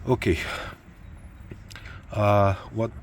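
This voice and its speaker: Romanian guy explaining bike repair in english, really slow, lots of uhs, bit of motor noise behind. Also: lowish voice, little pitch movement. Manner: halting, many fillers, searching for words. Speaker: motorcycle tutorial maker. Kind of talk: hesitant spoken tutorial.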